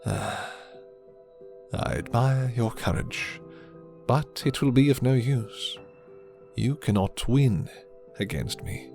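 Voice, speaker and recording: A man voicing a suave, menacing, condescending vampire lord in an ASMR roleplay, courtly and theatrical, with deliberate delivery. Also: mid-range voice, vocal fry, darkish tone. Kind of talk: ASMR roleplay character acting. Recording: music behind the voice.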